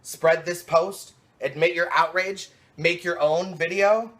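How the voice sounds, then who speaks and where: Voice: medium pitch, fairly even intonation, takes it slowly, a bit bright. A slam poet performing rhymed spoken word with an emphatic, dramatic and rhythmic delivery.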